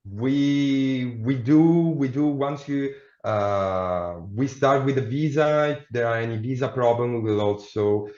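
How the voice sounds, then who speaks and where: A man's voice: mid-range voice, a little slow, hardly any pauses, darkish tone. Guy going over admission fees, lots of ums, echoey room, kinda muffled. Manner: hesitant, many fillers. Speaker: admissions presenter. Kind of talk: online information session. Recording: echoey room, dull lo-fi sound.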